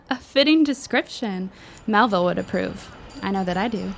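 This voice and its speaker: An actress playing a witty, eloquent high school girl with a precise, wry manner in a period audio drama. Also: expressive, moderate pace, vocal fry, slight background noise. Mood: wry disdain.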